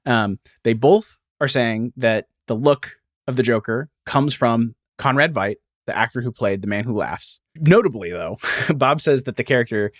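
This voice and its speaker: Male history podcast host, mid-pitched, explanatory and conversational, given to asides, dry sound. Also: moderate pace, no echo.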